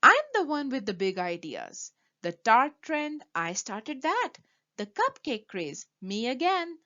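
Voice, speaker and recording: A woman's voice: on the high side, huge pitch swings, takes it slowly, sharp tone, dull audio, full character voice. Woman voicing a proud, dramatic storybook baker man. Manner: emphatic, grandly declaring.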